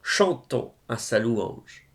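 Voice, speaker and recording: Male reader for religious verse: solemn, reverent, unhurried delivery with a creaky, gravelly edge. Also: mid-range voice, expressive. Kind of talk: solemn devotional poetry recitation.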